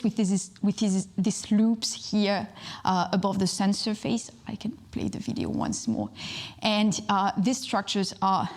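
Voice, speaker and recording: A woman's voice: medium pitch, darkish tone. A young woman from southern France, a space physics researcher, giving a public science talk with many fillers and restarts.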